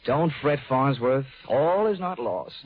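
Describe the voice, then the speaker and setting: An American actor playing a cocky, flippant insurance investigator with wry, breezy delivery in a 1940s radio detective drama. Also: male voice, mid-range voice, lively intonation, takes it slowly. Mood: breezy, sardonic. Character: wisecracking, cocky freelance male insurance investigator. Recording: dull 1940s radio recording with music.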